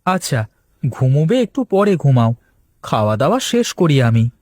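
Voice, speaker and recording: Man acting as cartoon husband and trader, husky, dry close sound, expressive, teasing, jovial. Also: mid-range voice, moderate pace, no echo. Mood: teasing, delighted. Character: married male vegetable trader, jovial and resourceful. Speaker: cartoon character. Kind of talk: Bengali cartoon story dialogue.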